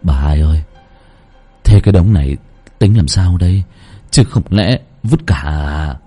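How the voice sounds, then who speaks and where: A man's voice: deep voice, lively intonation, measured pace, dark tone, husky, faint music behind, pitched below the performer's own voice, heavily acted. A man doing a stammering, terrified young man. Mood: uneasy. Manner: stammering, pleading.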